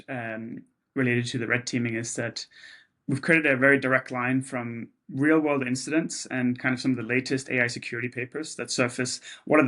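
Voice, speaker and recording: A man's voice: medium pitch, a bit bright. A man who runs a company, interviewing a guest and adding his own commentary between questions. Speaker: interviewer, company chief executive.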